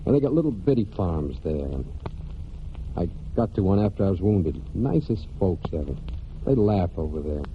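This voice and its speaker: An actor in a 1940s American radio drama playing a brooding young farm man and war veteran, speaking plainly and haltingly in rustic phrases. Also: low voice, big pitch swings, a little hiss or hum. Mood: wistful. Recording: muffled 1940s radio broadcast with music.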